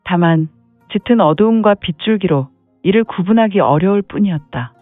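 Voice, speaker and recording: High-voiced man narrating a martial-arts saga. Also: animated, moderate pace, no room sound. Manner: dramatic, with "heh heh" interjections. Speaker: narrator of a serial martial-arts audio drama. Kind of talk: scripted dramatic storytelling narration. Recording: tinny phone-like dry sound, faint music.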